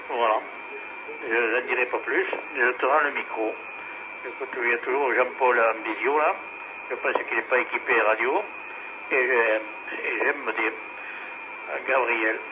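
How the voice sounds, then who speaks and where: Tinny, noisy radio voice, rambling man. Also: mid-range voice. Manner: rambling, repeats himself. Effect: two-way radio. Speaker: amateur radio operator. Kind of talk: casual radio chat.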